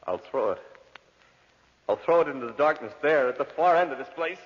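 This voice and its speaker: In a cavernous reverb, an actor plays a desperate man facing entombment. His delivery leaps widely in pitch, melodramatic and horrified in old 1940s radio style, on a dull, muffled recording. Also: expressive, fairly quick, close to an everyday voice. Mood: anxious, grasping hope. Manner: melodramatic, swinging from hushed dread to pleading cries. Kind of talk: 1940s radio drama acting.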